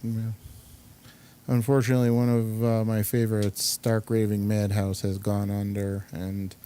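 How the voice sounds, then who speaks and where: A man hosting a show, chatting and commenting casually in an informal, halting way. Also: on the low side, monotone, dark tone, a little hiss or hum. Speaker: show host. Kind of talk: casual studio chat and commentary.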